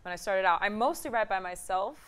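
Twenties female guest, Brazilian-born, native Portuguese, chatty and reflective, mid-pitched voice, lapel mic in a studio. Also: lively intonation, a little fast, a bit bright. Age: 20s. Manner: chatty, reflective, lots of "like" fillers. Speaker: guest singer-songwriter. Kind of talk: talk show interview.

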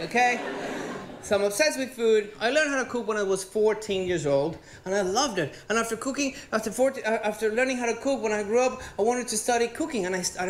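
A man's voice: high voice, a little hiss or hum. A man, doing a rambling, profane stand-up routine on stage with a handheld mic. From Venezuela. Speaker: stand-up comedian. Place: theater stage. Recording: echoey theater.

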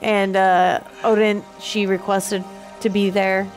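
A woman chats casually as a reaction video host, at a middling pitch. She explains as she goes and often looks for confirmation, with game music faintly behind her. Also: level tone, slow pace, poor audio.

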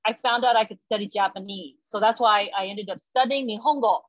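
A woman's voice: mid-range voice, a little fast, no echo. A middle-aged woman from Taiwan chatting eagerly from her home kitchen on a close phone camera. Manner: eager, chatty, lots of "you know". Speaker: guest and alumna. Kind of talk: casual video call chat. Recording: dry, dull video call audio.

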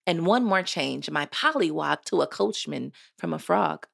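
A woman's voice: deep voice, animated, creaky, exaggerated voice. Woman voicing a tiny kindly, bossy fairy godmother. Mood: chanting spells. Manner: brisk, affectionate, chanting rhymed spells.

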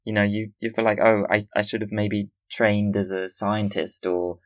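A man with a low voice hosts a podcast conversation. He is from Austria and speaks earnestly, feeling his way through his thoughts, recorded close and dry. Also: completely flat delivery, normal speed, no echo. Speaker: podcast host.

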